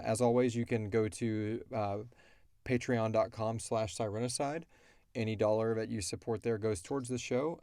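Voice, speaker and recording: Low-pitched man chatting casually, close and dry. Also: warm tone, dry recording. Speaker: host and creator of an audio drama podcast. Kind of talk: casual chat answering listener questions.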